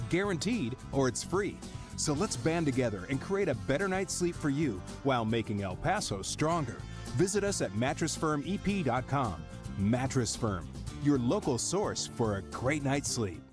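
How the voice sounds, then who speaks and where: A man reading a local store commercial in an earnest, community-minded tone. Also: mid-range voice. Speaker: ad announcer.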